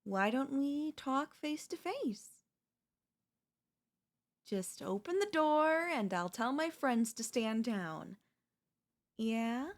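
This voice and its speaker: A woman's voice: sits high, sing-song, takes it slowly, pauses often, exaggerated voice. A woman voicing a chatty female zombie who cheerfully and conversationally coaxes in a comedic audio roleplay. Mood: coaxing, reasonable. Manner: conversational, coaxing, wheedling. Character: chatty, cheerfully persuasive female zombie craving human flesh.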